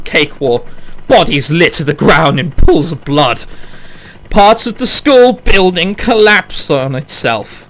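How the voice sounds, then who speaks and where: A bright, high-voiced man plays through a visual novel on his channel. He reads the story with animated swings and drops in exclamatory, sweary jokes. Faint music plays behind him. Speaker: let's player reading a visual novel. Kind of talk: dramatic read-aloud with joking commentary.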